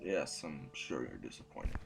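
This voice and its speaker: An actor playing a formal, ruthless and weary council sovereign in an audio drama, speaking in deliberate official phrases. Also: male voice, a bass voice, big pitch swings, gravelly, over music, heavily acted. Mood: weary, dry.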